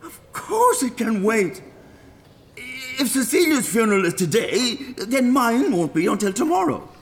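A demanding, vain old Dublin dead man in a radio play. His gravelly Irish voice runs high for a man and swings wildly in pitch. He takes his time, blustering and full of self-pity. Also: sing-song, measured pace, exaggerated voice. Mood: indignant. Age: older. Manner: blustering, stammering when upset.

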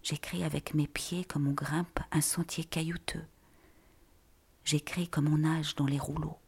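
A woman reading literary texts in a breathy, whispery voice with deliberate, measured phrasing. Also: deep voice, level tone, a little mellow.

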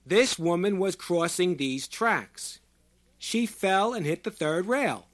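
Man acting a loud, insistent squat working-class man. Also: fairly high pitch, big pitch swings. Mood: angry, accusing. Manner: shouting, slow emphatic declarations in folksy ungrammatical speech. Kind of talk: audiobook character voice.

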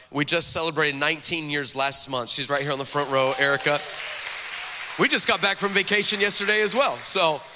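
A man in his forties from the Texas–Mexico border, a guest pastor preaching a lively, joking sermon and calling out to the crowd in a large church hall. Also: fairly high pitch. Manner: energetic, joking, calls out to the crowd. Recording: long hall reverb from a church sanctuary.

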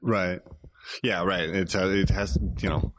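Very deep-voiced man, easygoing podcast host, chatty and relaxed. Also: measured pace. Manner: easygoing, chatty, laughs often. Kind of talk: casual interview chat.

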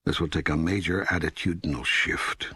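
An ageless, patient God in an audiobook dialogue. The voice is rather low and husky, recorded very dry. It teaches with measured, authoritative statements. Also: male voice, steady tone, sharp tone, no echo, heavily acted. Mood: firm, grave. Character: God, an ageless, patient, all-knowing male deity. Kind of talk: scripted audiobook dialogue reading.

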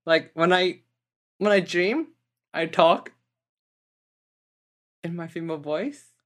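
Female interview guest, casual and talkative, quick bursts of speech. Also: on the low side. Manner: chatty, quick, light laughs, repeated words.

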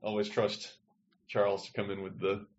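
A man's voice: lowish voice, monotone, normal speed, muffled recording. A man co-hosting a podcast, talking in a digressive, think-aloud way over a remote call. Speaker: podcast co-host. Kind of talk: casual discussion.

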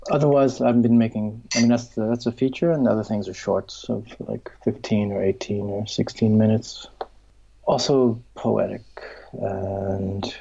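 A middle-aged man, a filmmaker and writer, answering interview questions in a halting, searching way, restarting often and trailing off into a soft whisper. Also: fairly low pitch, gravelly, a little hiss or hum. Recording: dull lo-fi recording.

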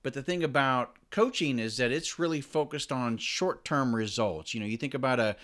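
A man's voice: mid-range voice, moderate pace, fairly bright tone. A man hosting an interview, asking long rambling questions and explaining ideas at length. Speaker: podcast host. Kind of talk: conversational interview.

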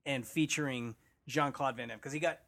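A man co-hosting a movie podcast, talking in an excitable, casual way with frequent swearing and laughter. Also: medium pitch, fairly quick. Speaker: podcast co-host. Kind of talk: casual chat.

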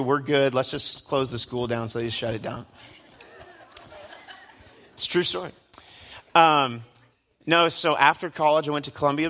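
A man's voice: medium pitch, sharp tone. A man in his late twenties giving a casual, joking sermon to a church youth crowd, chatty and self-deprecating. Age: 20s. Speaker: guest preacher. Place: church hall before a live crowd.

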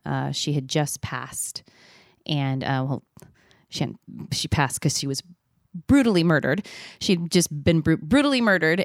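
Low-voiced woman in her 30s, chatty and candid, smooth clear tone, dry close sound. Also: no room sound. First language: not Spanish; sings in Spanish. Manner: chatty, candid, laughs easily, many fillers. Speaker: singer and podcast co-host. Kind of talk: casual storytelling chat.

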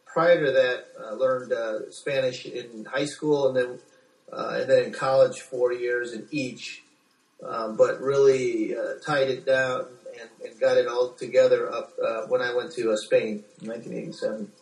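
American man, halting speech, echoey and distant. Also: medium pitch, fairly even intonation. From United States. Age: 40s. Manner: halting, hesitant, repeats words. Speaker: doctor being interviewed. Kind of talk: informal interview answers. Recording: echoey room, distant from microphone.

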